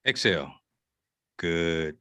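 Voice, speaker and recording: Reassuring male sci-fi medic, read by a man, animated pitch, dry recording, amateur drama read. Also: slow pace, clear, resonant tone, dry recording, heavily acted. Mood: calm, reassuring. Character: calm, reassuring male medic in science fiction. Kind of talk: amateur script table read.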